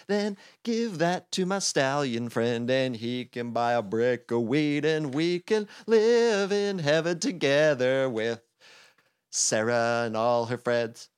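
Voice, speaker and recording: Man acting emotional cartoon toad, high-pitched, melodramatic, foul-mouthed, silly sing-song, comic storybook. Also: no echo, studio quality. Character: hysterical, dim, emotional male cartoon toad.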